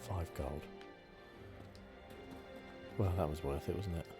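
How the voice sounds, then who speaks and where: Soft low guy doing asmr gameplay commentary, unhurried, game music going behind him. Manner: soft-spoken, unhurried, thinking aloud. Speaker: gaming channel host. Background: video game music.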